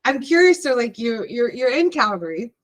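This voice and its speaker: A woman's voice: a little high, big pitch swings, normal speed. A woman host interviewing a guest, giving brief prompts and agreeing noises. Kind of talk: interview questions.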